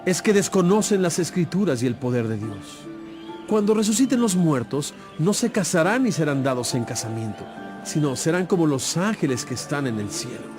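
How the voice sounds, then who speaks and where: A composed, authoritative holy teacher in a biblical audio drama. His voice is on the higher side, solemn and emphatic, and music plays clearly underneath. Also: sing-song, normal speed. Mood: challenging. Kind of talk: dramatized audio Bible acting. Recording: music playing behind.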